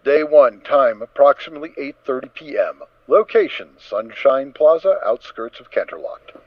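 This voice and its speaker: A man narrating a story as a dramatic reading, in an expressive, theatrical manner. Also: mid-range voice, moderate pace, no echo. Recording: slightly dull, dry close recording.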